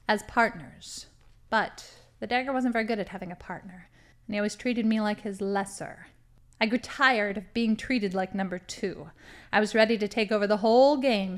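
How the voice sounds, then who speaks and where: A woman's voice: mid-range voice, lightly acted. A woman acting as a boastful, theatrical villain, a cheerfully murderous crime boss in a comedy audio drama. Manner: gleeful, boastful, dramatic monologuing.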